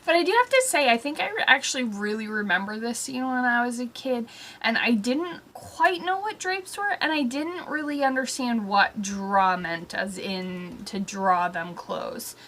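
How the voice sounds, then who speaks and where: A woman's voice: fairly high pitch, animated, moderate pace, fairly bright tone. A young adult woman giving casual, rambling comic commentary in a mock-exasperated manner, leaning hard on certain words. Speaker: host of a comedic story-time show.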